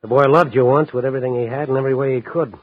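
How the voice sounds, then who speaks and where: An actor playing a world-weary, wry newspaper reporter, narrating and acting in a 1950s radio drama. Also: male voice, medium pitch, steady tone, rapid-fire, close to an everyday voice. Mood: reproachful. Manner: hard-boiled, wry. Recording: muffled vintage radio recording with music.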